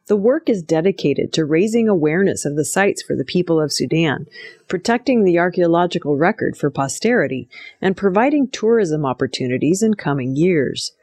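A woman's voice: deep voice, a little mellow, no room sound. A woman reads the news from a prepared script. She works as a narrator of reports, item after item. The recording is dry, with hardly any room around the voice. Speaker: news reader.

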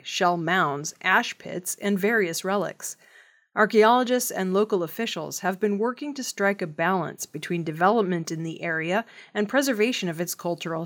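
Medium-pitched female news reader. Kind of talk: scripted news narration.

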